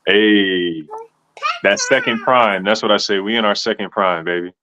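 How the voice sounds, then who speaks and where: A man's voice: on the low side, normal speed, a crowd in the background. A man in his thirties hosting a casual interview, laid-back and slangy, calling people man and bro. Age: 30s. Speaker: host.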